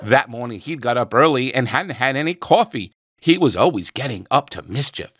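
A man reads aloud to children in an expressive storybook style. His medium-pitched voice sits in a dry recording with very little room sound. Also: normal speed, no echo. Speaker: children's read-aloud narrator.

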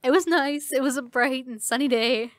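Friendly young woman, high voice by an actress. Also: normal speed, dry recording, lightly acted. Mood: amused storytelling. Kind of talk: audio drama acting, casual.

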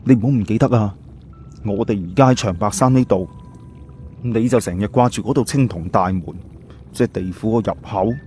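A man's voice: lowish voice, brisk, warm tone, slight background noise, close to an everyday voice. A man playing an earnest, thoughtful young adventurer in an audiobook, explaining plans seriously. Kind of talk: audiobook character acting.

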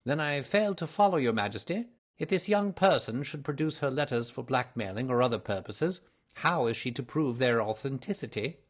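A cool, incisive gentleman detective from a storybook, as a male narrator puts him on. His male voice is husky, and he talks drily and briskly, with languid superiority and a touch of impatience. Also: mid-range voice, lively intonation, voice pushed up, natural voice. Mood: probing.

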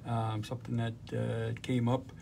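A man's voice: mid-range voice, monotone, moderate pace, gravelly, mellow, a little hiss or hum. A man hosting a radio show, chatting in an easygoing, agreeable way with lots of fillers. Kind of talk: casual radio chat.

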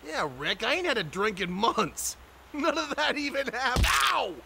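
A man voicing a dim slacker buddy with a creaky voice and bad grammar in an animated comedy. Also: very high voice, normal speed, background noise, full character voice. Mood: dopey, protesting.

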